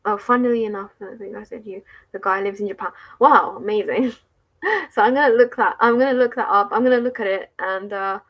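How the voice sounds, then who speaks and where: A woman's voice: mid-range voice, a little fast, dull audio. A woman with an English accent chatting casually on a livestream, answering viewer comments with frequent laughs, likes and restarts. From England.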